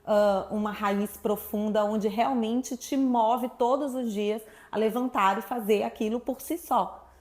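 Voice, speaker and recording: A woman from Salvador speaking, her voice sitting a little high. She talks freely and expressively, an easy storyteller chatting as an interview guest. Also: fairly even intonation, measured pace, darkish tone. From Salvador, Brazil. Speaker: guest, sexuality professional. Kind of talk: casual interview chat.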